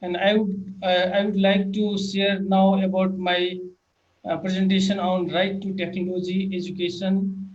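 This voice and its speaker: A male panelist starting a webinar presentation over a video call, speaking slowly and measured. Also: sits high, little pitch movement. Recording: muffled call audio with faint noise.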